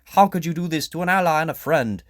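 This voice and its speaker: A man's voice: fairly high pitch, animated, quick pace, dry recording, studio quality, voice pushed up, lightly acted. A man acting a proud, earnest king in an audio drama, speaking formally and emphatically with indignation and pleading. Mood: indignant.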